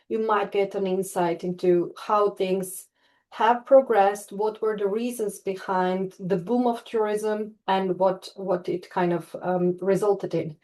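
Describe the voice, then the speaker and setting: A woman's voice: mid-range voice, warm tone, poor audio. A woman from Istria in Croatia giving an online webinar talk as a tourism researcher, speaking over a video call and hesitating often with fillers and restarts. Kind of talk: online presentation.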